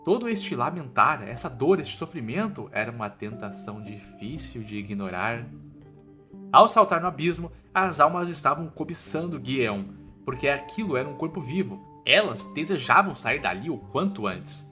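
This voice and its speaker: A man's voice: medium pitch, very animated, moderate pace, pushed and bright. A man with a Brazilian accent narrating a story recap from a script in an informal style, with music behind him.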